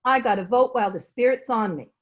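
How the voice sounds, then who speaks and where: A woman's voice: on the high side, fast, lightly acted. A woman playing a determined, cheerful, folksy housekeeper in an old-time radio comedy, plain-spoken, on dull video-call audio. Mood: proud, determined. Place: recorded remotely over a video call.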